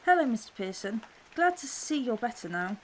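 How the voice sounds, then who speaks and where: A woman's voice: a little high, sing-song, a little fast, noisy background. A woman playing a polite, friendly young granddaughter in an audio drama, with rain behind her.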